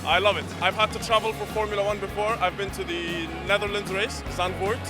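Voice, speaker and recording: High-voiced enthusiastic man at a loud event. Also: level tone, brisk, music in the background. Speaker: race fan.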